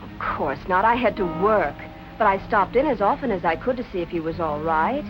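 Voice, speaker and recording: An actress playing a brisk, loyal nightclub woman in 1940s film noir style. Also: medium pitch, expressive, highs cut off, music in the background, lightly acted. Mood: earnest, defensive.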